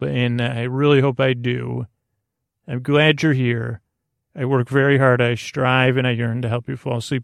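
Gravelly, mumbling male sleep podcast host. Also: mid-range voice, dry recording, studio quality. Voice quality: soothing, gravelly. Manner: meandering, halting, self-deprecating mumbling. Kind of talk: rambling bedtime sleep podcast monologue.

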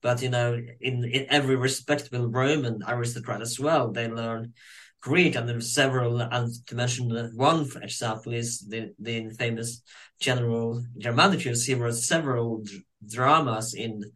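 A man's voice: mid-range voice, fairly even intonation, moderate pace, a bit bright, low-quality recording. A man from Norway, a native Norwegian speaker with a Norwegian accent, chatting as an interviewer in a casual conversation.

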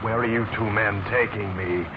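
An actor playing a stiffly proper, indignant kidnap victim who talks formally in an old-time radio crime drama. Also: male voice, fairly low pitch, steady tone, normal speed, natural voice. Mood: indignant, anxious. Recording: tinny, noisy vintage radio recording.

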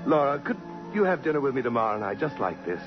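An actor playing a charming, smooth, persistent suitor in a 1950s radio drama, with a glib and eager way of talking. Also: male voice, medium pitch, very animated, fast, natural voice. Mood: flirtatious. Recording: muffled vintage radio with music behind.

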